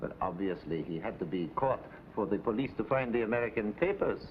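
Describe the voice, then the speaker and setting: An actor playing a smug, calculating hired assassin in a 1950s TV drama, speaking in a formal, haughty, precise way. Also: male voice, lowish voice, expressive, a little fast, close to an everyday voice. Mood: smug confession. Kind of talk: 1950s television drama acting. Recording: dull, noisy old TV soundtrack.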